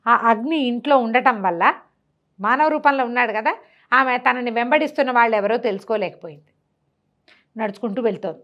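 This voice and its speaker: A woman's voice: fairly high pitch, brisk, long pauses, fairly bright tone, dull audio. A woman telling a traditional tale aloud in a conversational way, posing rhetorical questions and acting out the dialogue as she goes. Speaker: storyteller. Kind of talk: animated oral storytelling of a traditional tale.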